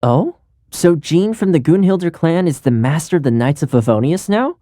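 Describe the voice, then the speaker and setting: Game role: friendly young male retainer, played by a man. Loyal, easygoing, earnest; animated intonation, soft-edged mellow timbre. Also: on the high side, normal speed, no echo, studio quality, close to an everyday voice. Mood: friendly, chatty. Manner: chatty, earnest, conversational with light chuckles. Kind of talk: video game character voice lines.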